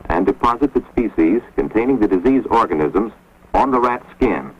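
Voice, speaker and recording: A man giving measured, grave scripted narration for an instructional film, recorded on a dull, lo-fi 1950s film soundtrack. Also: on the low side, animated, slightly rough. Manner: measured, grave, authoritative delivery.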